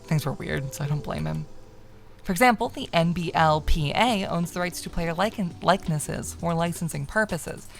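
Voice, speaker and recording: A woman streamer reading a visual novel aloud as narration, expressive, sometimes stumbling and correcting herself. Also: fairly low pitch, moderate pace, soft background music.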